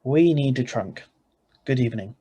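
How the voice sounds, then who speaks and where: A man plays an ordinary husband in a radio thriller, greedy and stubborn. His middle-pitched voice is husky, with a wry, bossy, conversational delivery. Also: animated, normal speed, mellow. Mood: firm. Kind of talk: radio thriller narration and dialogue.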